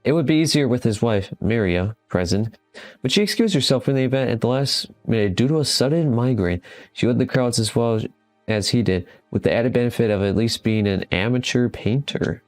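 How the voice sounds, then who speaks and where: A man's voice: mid-range voice, animated, brisk, a little mellow, soft background music. A man hosting a gaming video, speaking informally as he comments on games and reads their text aloud. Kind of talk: casual game commentary and reading game text aloud.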